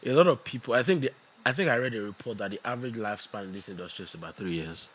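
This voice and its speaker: A man with a Nigerian accent hosting a podcast in casual, expressive banter. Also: fairly low pitch, rapid-fire. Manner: expressive, slips between English and Yoruba. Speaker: podcast host.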